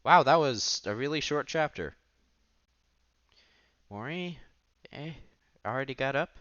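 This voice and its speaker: A man's voice: mid-range voice, expressive, a little slow, no echo, highs cut off. A man reading a visual novel aloud on a headset mic, acting out the dialogue and adding offhand comments in a casual way. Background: soft music.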